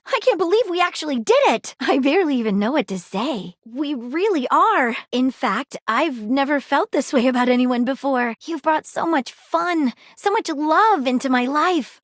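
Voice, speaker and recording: An eager, flirtatious female sleuth from a video game. Her voice sits a little high and swings around in lively, theatrical lines. She speaks plainly and earnestly, in a very dry, close recording. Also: no echo. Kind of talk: expressive scripted game character dialogue.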